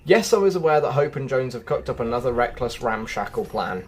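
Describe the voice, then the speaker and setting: A man reading out a haughty, sceptical video game character in formal, measured, disdainful phrases. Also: mid-range voice, animated, husky. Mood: grudging disdain. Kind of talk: read-out of game quest dialogue.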